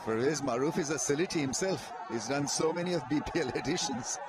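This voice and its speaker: A man's voice: a little high, fairly quick. Male cricket commentator, admiring analysis, stadium din. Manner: descriptive, admiring analysis of players. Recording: loud stadium noise behind.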